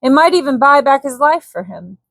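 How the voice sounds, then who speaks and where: A woman narrates a bedtime adventure story in a fairly high voice. Her reading is calm and scripted, recorded dry with very little room sound. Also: brisk, gravelly, no room sound. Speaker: audiobook narrator.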